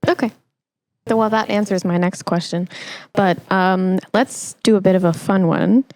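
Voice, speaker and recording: A woman's voice: mid-range voice, steady tone, normal speed, a little mellow. A woman hosting a casual podcast interview, chatting in a playful, teasing way. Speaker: podcast host.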